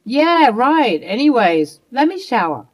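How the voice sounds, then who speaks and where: A woman's voice: on the high side, sing-song, a little slow, voice pushed up. A woman voicing a cheating adult husband who stammers and pleads evasively.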